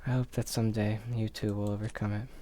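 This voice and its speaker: A man's voice: fairly low pitch, level tone, quick pace, warm tone, slight background noise, natural voice. A man voicing a frail, timid elderly man full of remorse in a visual novel. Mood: solemn.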